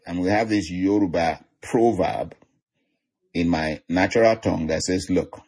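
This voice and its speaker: Husky Nigerian preacher, chuckling often. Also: male voice, deep voice, darkish tone. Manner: chuckles often, repeats declarations. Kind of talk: online sermon.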